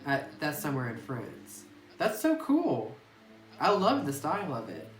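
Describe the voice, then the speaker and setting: A man's voice: a little high, huge pitch swings, unhurried, faint music behind. A man playing through a horror visual novel, reading the game text aloud and reacting nervously to scary moments, recorded on a phone mic some distance away. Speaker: let's play gamer. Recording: distant roomy phone audio with noise.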